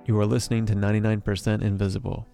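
A man's voice: lowish voice, flat delivery, very fast, gravelly, dark tone, faint music behind. An American man hosting a radio show in a scripted narration style.

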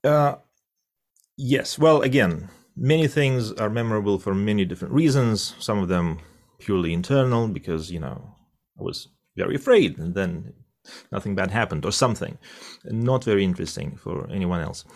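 Deep-voiced man, thoughtful. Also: expressive, normal speed. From Russia. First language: Russian. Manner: thoughtful, many fillers and restarts. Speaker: interpreter. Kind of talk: conversational interview answer.

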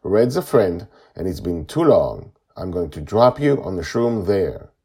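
A determined ten-year-old girl adventurer, played by a male storyteller. The pitch is lifted well up, with a husky grain, and the delivery is firm and insistent. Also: big pitch swings, unhurried, darkish tone, heavily acted. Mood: firm, sharp.

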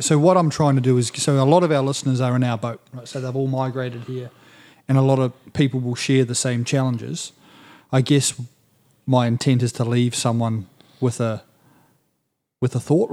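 A man's voice: medium pitch, dark tone. A man hosting a podcast who talks reflectively, thinking aloud with frequent restarts. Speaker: podcast host. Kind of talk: casual podcast chat.

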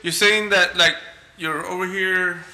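A man's voice: high-pitched, steady tone, measured pace, sharp tone, roomy sound, low-quality recording. A man co-hosting a podcast, joking and swearing in casual banter, acting out quotes. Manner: joking, profane, acts out quotes. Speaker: podcast co-host.

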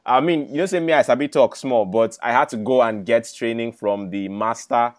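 A man with a Nigerian accent hosting an online class, talking excitedly with fillers and restarts. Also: mid-range voice, normal speed. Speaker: host. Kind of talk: casual introduction.

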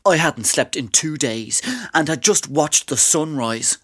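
A man's voice: a little high, measured pace, pushed and bright, no echo, clean studio sound. A man playing an earnest, self-dramatising would-be poet given to spirit visions in a radio drama. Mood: confiding. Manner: awestruck, grandiose.

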